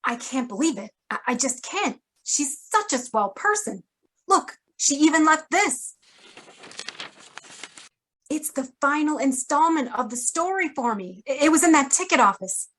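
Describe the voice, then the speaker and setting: An actress playing a detective's loyal, urgent, sharp-tongued female ally in a noir radio drama. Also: high-pitched, big pitch swings, a little slow, full character voice, low-quality recording. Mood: indignant, distressed.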